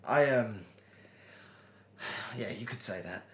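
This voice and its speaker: A man's voice: fairly low pitch, slow pace, a bit bright, slightly rough, exaggerated voice. A man playing an obsessive, lovelorn artist in an audio drama, speaking hesitantly and with awkward politeness. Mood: nervous, hesitant. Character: nervous, obsessive lovelorn male artist narrating his longing. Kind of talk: dramatic monologue and phone dialogue.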